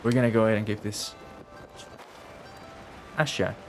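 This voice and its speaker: A man's voice: fairly low pitch, steady tone, moderate pace, faint music behind. A man chatting casually on a game live stream, rambling and repeating phrases as he thinks aloud.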